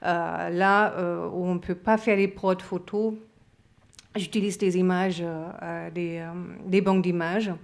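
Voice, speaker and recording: A woman with a German accent speaks at a conference with an unhurried pace. Her voice sits a bit low. She often stops on fillers to find her words. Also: fairly even intonation, creaky. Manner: hesitant, many fillers. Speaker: association president and magazine photo editor. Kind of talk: conference talk. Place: conference room.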